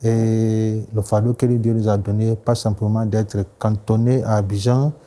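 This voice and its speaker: A Ghanaian man in his forties, a pastor whose first language is English, talks in a studio interview. His voice sits low and sounds very dark. He speaks in a measured way and agrees often. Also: little pitch movement. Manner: measured, many short agreements. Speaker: pastor and guest.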